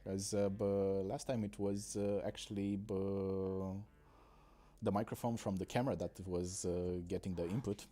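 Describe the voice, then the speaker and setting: A man from Romania, streaming a mobile game in casual, halting commentary full of pauses and fillers, on a desk microphone. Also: low-pitched, level tone, warm tone, soft background music.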